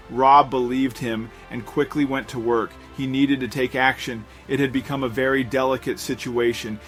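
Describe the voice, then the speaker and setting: A man narrating a scripted suspense story in a dramatic storytelling manner. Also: mid-range voice. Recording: voice-over with music underneath. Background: background music.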